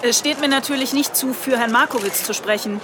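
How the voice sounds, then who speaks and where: A woman acting a calculating, polished mayor in a radio satire. Her voice is fairly high and she talks in formal statements over clear background noise. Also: a little fast, a crowd in the background, natural voice. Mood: self-satisfied. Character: smooth-talking, calculating female town mayor. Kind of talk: German satirical radio drama.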